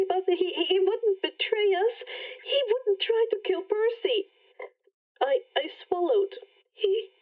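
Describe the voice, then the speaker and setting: A woman's voice: very high-pitched, phone-quality sound, pitched above the performer's own voice. A woman voicing a distraught, loyal young girl in a story, stammering and pleading.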